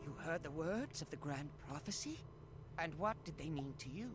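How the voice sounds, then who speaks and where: An actor voicing an excitable, solemn male scholarly creature in a video game, with dramatic, exclamatory delivery. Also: a little high, normal speed, background noise, natural voice. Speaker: quest-giver character. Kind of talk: theatrical video game dialogue.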